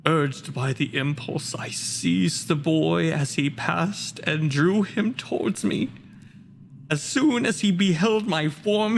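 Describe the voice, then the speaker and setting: A wretched, vengeful monster delivers a theatrical monologue. The voice is high for a man and creaks with gravel, and each phrase comes slowly, set apart by heavy pauses. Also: a bit bright, full character voice. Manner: slow, deliberate, literary delivery with heavy pauses. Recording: faint background noise, home video-call performance.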